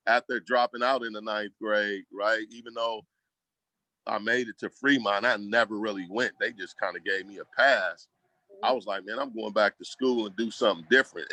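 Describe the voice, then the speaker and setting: Male interview guest, low-pitched with a forceful edge, casual and slangy, very dry close sound. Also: no room sound, poor audio. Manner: colloquial, slangy, acts out quoted remarks. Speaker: school program leader, guest. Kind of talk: casual conversational storytelling.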